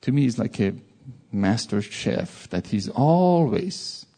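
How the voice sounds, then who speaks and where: Gravelly man with a Persian accent. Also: medium pitch, slow. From Iran. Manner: animated, jokey asides. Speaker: preacher. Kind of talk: informal sermon.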